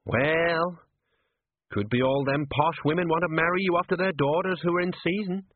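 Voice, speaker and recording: A man's voice: on the high side, fairly even intonation, normal speed, no echo, pitched above the performer's own voice, lightly acted. A man voicing an aging, worried watch sergeant with rambling, folksy working-class talk, on a dry, tinny recording. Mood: scheming. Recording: dry husky phone-like audio.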